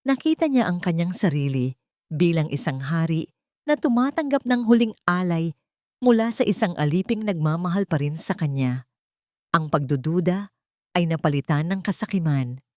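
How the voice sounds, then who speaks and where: A woman with a slightly low voice reads a dramatic audio story. Her delivery is measured and solemn, and the recording is dry and close, with little room sound. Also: animated, darkish tone, no echo. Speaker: story narrator. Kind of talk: scripted dramatic audio story narration in Tagalog.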